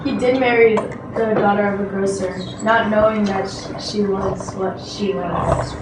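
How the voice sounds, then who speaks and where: A woman's voice: mid-range voice, steady tone, measured pace, close to an everyday voice. Echoey, distant storytelling father played by a girl. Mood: patient, storytelling. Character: patient storytelling village father telling folk tales. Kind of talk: amateur readers theatre storytelling. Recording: noisy echoey room, distant microphone.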